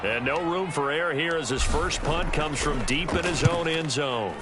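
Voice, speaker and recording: An actor playing a polished, upbeat American TV football announcer calling play-by-play in a video game. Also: male voice, on the high side, moderate pace, heavy background noise, natural voice. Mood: steady, brisk. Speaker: play-by-play sports commentator.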